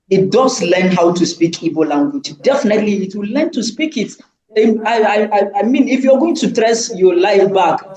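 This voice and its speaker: Male panelist, slightly high voice, pushy debater, roomy echo. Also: mellow. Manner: argumentative, insistent, with repeated restarts. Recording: echoey room.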